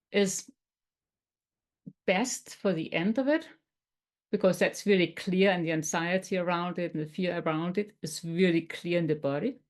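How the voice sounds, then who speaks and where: Lower-voiced Danish woman teaching calmly. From Denmark. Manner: gentle, explanatory, occasional non-native phrasing. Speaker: tapping coach. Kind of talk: webinar teaching.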